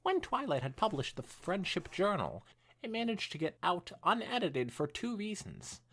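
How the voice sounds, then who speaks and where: A man's voice: high-pitched, sing-song, moderate pace, fairly bright tone, husky. A man co-hosting a podcast, reading fan fiction aloud expressively with some stumbles and dropping into casual chat. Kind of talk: dramatic read-aloud mixed with casual chat.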